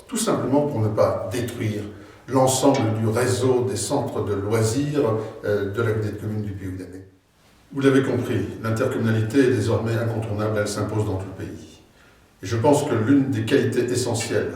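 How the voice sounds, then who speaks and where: A middle-aged or older man gives a formal, deliberate campaign address as a local politician, with hesitant pauses. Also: on the low side, darkish tone. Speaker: local politician, incumbent mayor seeking re-election. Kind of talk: campaign address, semi-prepared speech. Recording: very echoey room, distant microphone.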